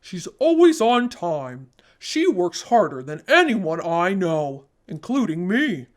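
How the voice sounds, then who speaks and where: A woman voicing a jolly old Santa Claus in a storybook, with a booming, hearty delivery. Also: big pitch swings, slow pace. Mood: jolly praise.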